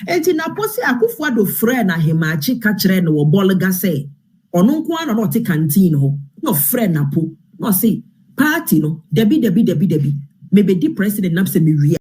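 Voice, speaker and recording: A Ghanaian man giving informal political commentary with a husky voice, repeating phrases for emphasis. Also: high-pitched, big pitch swings, fairly quick, darkish tone. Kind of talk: informal political commentary, mixing Twi and English.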